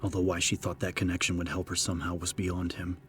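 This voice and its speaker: A man with a very deep voice narrates horror fiction. His delivery is grave, measured and level in pitch. Music plays clearly behind him. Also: little pitch movement, fairly bright tone. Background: prominent background music.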